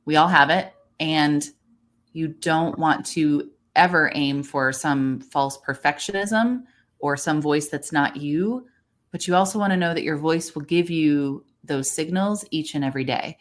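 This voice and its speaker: A woman's voice: low-pitched, a little slow. A woman speaking as a voice coach, giving encouraging, explanatory advice in a conversational way. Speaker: voice coach, guest expert. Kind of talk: conversational expert advice in a livestream.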